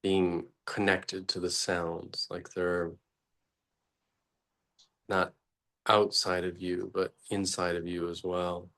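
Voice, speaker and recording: Very slow, low-pitched man guiding meditation. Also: little pitch movement, fairly bright tone. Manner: slow, halting, long pauses between short phrases. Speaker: meditation teacher. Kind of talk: guided meditation, unscripted.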